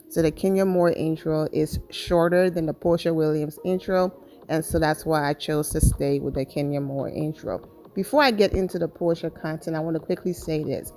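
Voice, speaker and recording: Woman doing gossip commentary, lowish voice, chatty and emphatic, talks right at you. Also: a little mellow. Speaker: celebrity gossip channel host. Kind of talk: casual commentary to camera.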